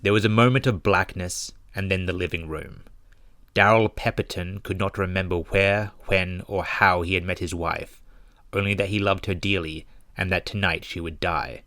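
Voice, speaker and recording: A man narrating a scripted horror story in a grave, measured, ominous and dramatic manner. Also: low-pitched, steady tone, fairly bright tone, dry recording, studio quality. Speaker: narrator and author of horror short stories.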